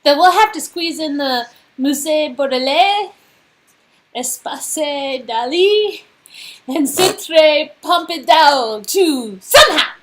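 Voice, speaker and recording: An excitable young woman acted by a woman from a romance game. Her voice is very high and bubbly, and she stretches her words out. She gushes with affection. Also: slow pace. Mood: delighted.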